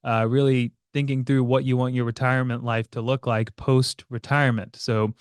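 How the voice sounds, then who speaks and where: A man co-hosting a podcast as a financial planner, explaining in a casual, halting way with many fillers. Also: medium pitch, level tone, clear, resonant tone, no echo, studio quality. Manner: hesitant, many fillers and restarts.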